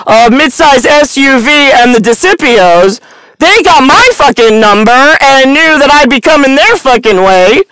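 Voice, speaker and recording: A woman's voice: high voice, unhurried, smooth, no echo, low-quality recording. A woman in her forties rambling to camera in a blunt, sardonic, stream-of-consciousness monologue recorded up close inside a car. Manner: blunt, sardonic, profane, animated hand gestures. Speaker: vlogger. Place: inside a parked car at night.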